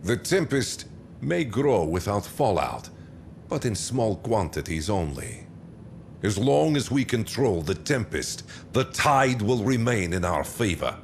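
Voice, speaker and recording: An actor playing a menacing, silky, commanding warlord in a video game cutscene, theatrical and condescending in a deliberate delivery. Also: male voice, lowish voice, big pitch swings, gravelly, a little hiss or hum, full character voice. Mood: smug.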